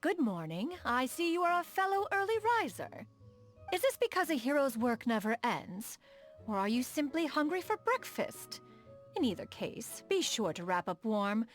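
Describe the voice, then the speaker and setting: A dignified immortal lady from a video game, with a fairly high voice that rises and falls widely. She speaks formally and grandly, as a proud, refined inventor. Soft music plays behind her. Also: very animated, moderate pace. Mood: kindly, courteous. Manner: formal, stately, refers to herself as "one". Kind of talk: video game character dialogue.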